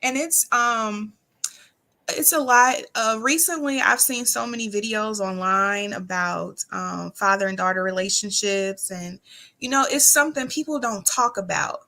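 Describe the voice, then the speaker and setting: A woman's voice: mid-range voice, unhurried, pushed and bright. An American woman talking candidly and casually as a guest, with an earnest, plain-spoken manner. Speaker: interview guest.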